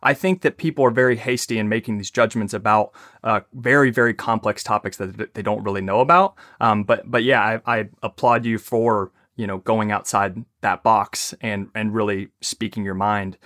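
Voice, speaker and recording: A man's voice: fairly low pitch, fairly even intonation, moderate pace, few pauses, a bit bright, dry recording, studio quality. A man hosting a podcast, talking informally and agreeably as he interviews a guest in a casual, conversational way.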